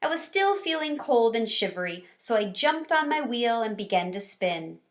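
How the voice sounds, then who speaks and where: A woman reading a children's storybook aloud in an expressive, animated way. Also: on the high side, moderate pace. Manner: animated, with varied character lines. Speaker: read-aloud teacher or storyteller.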